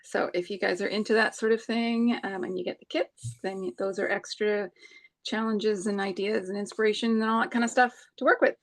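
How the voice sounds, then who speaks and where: A woman in her forties co-hosting a live scrapbooking stream, talking in a thoughtful, hesitant way with many pauses. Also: mid-range voice, fairly even intonation. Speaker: co-host of a live scrapbooking crop. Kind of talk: casual chat while crafting.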